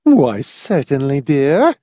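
A woman's voice: deep voice, big pitch swings, slow, dark tone, no echo, clean studio sound, pitched above the performer's own voice, heavily acted. A man reading a story, voicing a fussy, gushing, complacent old woman with a prim, matter-of-fact manner. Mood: genteel fussing. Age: older.

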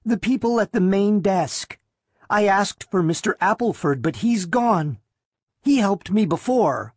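A woman's voice: mid-range voice, expressive, unhurried, a little mellow, breathy edge, dry recording, clean studio sound, voice pushed up. A woman reader voicing a timid, frightened young woman who speaks faintly and hesitantly in a story. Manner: faint, hesitant, whispery, trailing off.

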